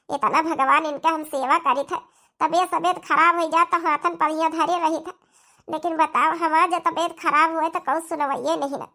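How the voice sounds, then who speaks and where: Woman voicing high-pitched plaintive young daughter-in-law, Awadhi accent. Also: bright, forceful tone, heavily acted. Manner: plaintive, complaining. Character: aggrieved, feverish young daughter-in-law pleading for sympathy. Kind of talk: comedy cartoon voice acting.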